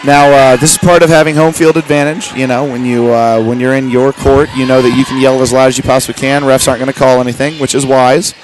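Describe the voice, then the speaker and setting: A man with a loud voice giving halting, amateur live play-by-play of a basketball game. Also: mid-range voice, level tone. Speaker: play-by-play sports commentator. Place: large indoor school gymnasium during a game. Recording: noisy gym crowd and bouncing ball. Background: crowd noise, basketball bounces.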